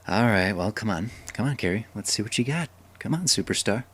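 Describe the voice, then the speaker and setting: A man's voice: on the low side, animated, a little fast, fairly bright tone, a little hiss or hum. A man playing a jokey, encouraging father who is a veteran vampire hunter, in a radio drama. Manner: jokey, encouraging, laughs often. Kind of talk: hobby radio drama acting.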